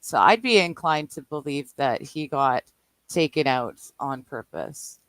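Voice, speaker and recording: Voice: medium pitch, lively intonation, unhurried, fairly bright tone, dry recording. Chatty guest on a dry voice call. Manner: hedging, chatty, laughs often. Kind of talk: casual online chat. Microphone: remote call over Discord.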